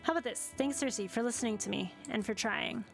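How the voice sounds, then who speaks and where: A woman's voice: fairly high pitch, a little fast, creaky, soft background music. A woman voicing an earnest young siren. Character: worried young siren with sharp teeth.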